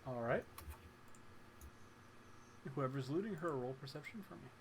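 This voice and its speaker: A man's voice: mid-range voice, animated, a little slow. A man acting as game master in a live tabletop role-playing session, narrating and calling for dice rolls. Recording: clearly noisy room background.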